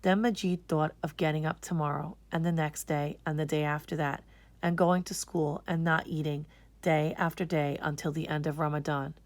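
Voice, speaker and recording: A woman's voice: low voice, steady tone, moderate pace, no echo. A woman reading a children's storybook aloud in a scripted narration style. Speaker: storybook reader.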